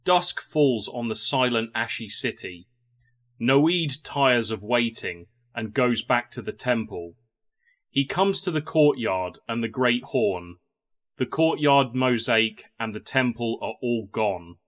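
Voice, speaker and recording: A man's voice: mid-range voice, animated, slow pace, fairly bright tone. A man reading scripted narration for an audio drama.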